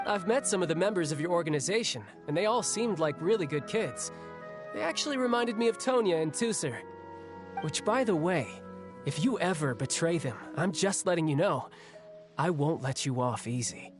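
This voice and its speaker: A man's voice: on the high side, animated, normal speed, close to an everyday voice. A man voicing a friendly, frank, protective young adventurer in a video game, talking in a casual, candid way. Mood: frank, probing. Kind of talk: anime-style video game voice acting. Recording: music faintly behind the voice.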